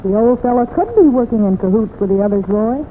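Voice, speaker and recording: A woman's voice: a little high, expressive, a little fast, lightly acted. Spirited ranch woman played by an actress, tinny radio. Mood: direct. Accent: American. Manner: plain-spoken, direct. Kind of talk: 1940s-50s radio western acting. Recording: tinny, noisy old radio recording.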